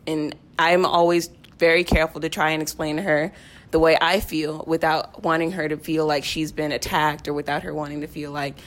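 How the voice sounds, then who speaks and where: A young woman with a somewhat low voice speaks as a guest in a group discussion. She is candid and earnest, explaining her feelings in informal, conversational language. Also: flat delivery, brisk. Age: teens to young adult. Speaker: podcast guest, cast member of a play. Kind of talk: casual, personal group discussion.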